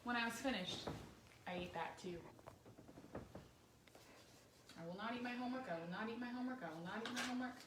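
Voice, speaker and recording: A woman voicing an earnest, cheeky young boy earthworm in a storybook, telling his diary in an expressive, childlike way. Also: on the high side, racing, natural voice. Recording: roomy, distant microphone, faint background noise.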